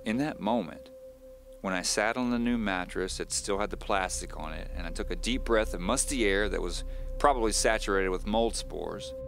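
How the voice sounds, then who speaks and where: Wry, brooding amateur tape diarist, low-pitched, measured confessional delivery for mystery radio play, soft music bed. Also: male voice, vocal fry. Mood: reflective. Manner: measured, confiding, wry storytelling. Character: divorced handy man confiding his mystery on tape, wry, brooding. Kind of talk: first-person audio drama monologue.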